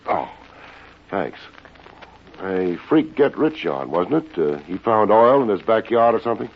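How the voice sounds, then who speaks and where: Low-voiced, hard-boiled American radio detective. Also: male voice, unhurried, a little hiss or hum. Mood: sardonic. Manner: wisecracking, slangy, deadpan. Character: wry, hard-boiled male private investigator. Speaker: radio detective lead and narrator. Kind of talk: 1950s radio detective drama acting. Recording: dull vintage radio recording, music behind.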